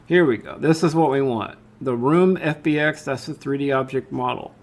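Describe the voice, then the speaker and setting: A man with a noticeably creaky, mid-pitched voice walks through a software tutorial step by step. His delivery is informal and unscripted, as if he is thinking aloud while he demonstrates each step. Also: moderate pace. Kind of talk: casual step-by-step screen tutorial narration.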